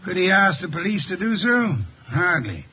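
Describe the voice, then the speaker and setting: An actor playing an eccentric, pompous middle-aged detective in a 1950s radio drama, speaking in precise, formal diction. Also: male voice, sits high, sing-song, normal speed, a little hiss or hum. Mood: pompous, lecturing. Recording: muffled vintage 1950s radio recording.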